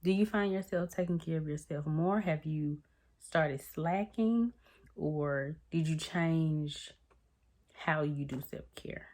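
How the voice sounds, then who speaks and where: A woman, chatting casually to her viewers and calling them y'all. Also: slow pace. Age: 30s. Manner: chatty, addresses viewers as y'all, lively swings in pitch. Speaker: vlogger. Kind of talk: casual chat to the camera.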